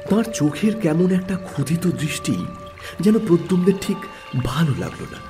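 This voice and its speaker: A man narrating a scripted mystery story as host, with measured, dramatic delivery. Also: fairly high pitch, very animated. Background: background music.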